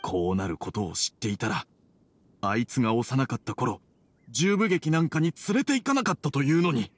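A man's voice: mid-range voice, lively intonation, moderate pace, slightly rough, no echo. A man playing a worried middle-aged tea merchant and father in an anime game, earnest and reserved. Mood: troubled, regretful.

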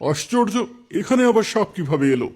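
A man's voice: sits high, animated, fairly quick, background noise. A man voicing a worried village elder in cartoon dialogue, pondering things cautiously. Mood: concerned. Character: worried, deliberate village elder uncle leading a burial.

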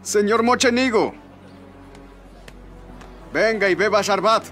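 A man's voice: high voice, slow, a bit bright, soft background music. An actor dubbing a chatty male merchant who gossips and boasts. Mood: gossipy. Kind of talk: Spanish dub of a historical series.

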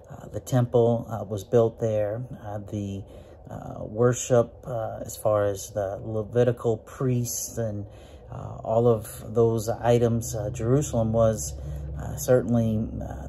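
Mellow-voiced preacher man, chatty Bible talk. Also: slight background noise. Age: 40s. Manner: folksy, many fillers, rises in pitch on emphasis. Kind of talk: informal Bible lesson to camera. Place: small room with green walls. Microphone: phone or webcam at close range, looking up.

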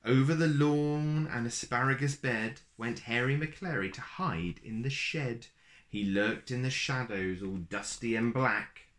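A man in his thirties reading a picture book aloud to young children in an expressive, deliberate storytelling manner. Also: medium pitch. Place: small plain room indoors.

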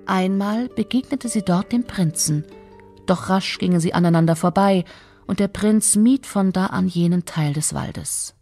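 A woman's voice: mid-range voice, unhurried, dark tone, faint music behind. A woman reading scripted fairy-tale narration as the storyteller.

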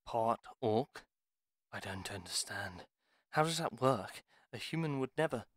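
A man's voice: mid-range voice, lively intonation, measured pace, no echo, clean studio sound. A man playing a bitter, curt pharmacist healer in a fantasy audio roleplay, speaking in stern, formal phrases. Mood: surprised.

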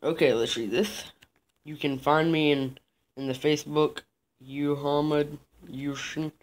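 A teenage boy hosting a casual gaming video, bossy and impatient with his friend, reading game signs aloud haltingly. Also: a little high, fairly even intonation. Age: teens. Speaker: gaming channel host. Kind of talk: casual let's play commentary. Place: indoor room.

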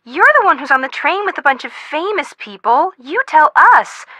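A woman's voice: sits high, big pitch swings, normal speed, pushed and bright, no room sound, exaggerated voice. An American woman playing a bubbly, gossipy, boy-crazy young friend in a video game, chatty, eager and playful. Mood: eager, curious.

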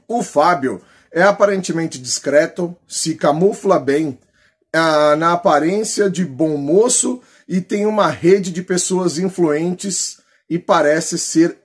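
A man in his forties with a Brazilian accent and a slightly husky voice, giving colloquial, opinionated vlog commentary with emphasis. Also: high-pitched. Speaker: political YouTuber. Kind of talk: vlog commentary, reading posts aloud. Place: small plain room indoors.

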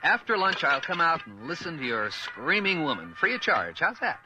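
An actor playing a teasing, wry father in a radio drama, speaking in a dry, mock-pompous, deliberate way. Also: fairly high pitch, big pitch swings, music in the background. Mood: teasing, softening. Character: wry, teasing, unhurried dad of a young girl.

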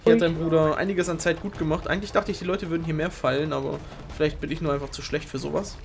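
A young man hosting a game show, giving informal, excitable live commentary on a video game race. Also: fairly high pitch, fast talker, vocal fry. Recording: music behind the voice.

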